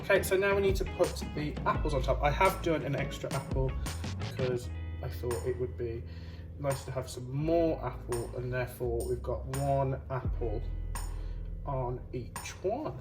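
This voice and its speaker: A woman's voice: extremely low pitch, moderate pace. A woman hosting a cooking show, casually talking through each step as she works, recorded at a distance. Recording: distant mic, loud music behind. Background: music, clattering dishes.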